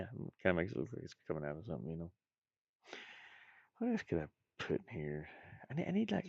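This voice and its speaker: A man's voice: deep voice, creaky, a little mellow, no echo, lo-fi sound. A man thinking aloud in casual, rambling chat as he works on game art, muttering and making playful asides. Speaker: indie game designer streaming.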